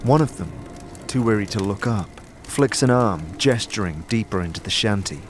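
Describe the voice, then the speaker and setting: Low-voiced male fantasy narrator. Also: expressive, moderate pace, a little hiss or hum. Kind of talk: scripted second-person fantasy narration.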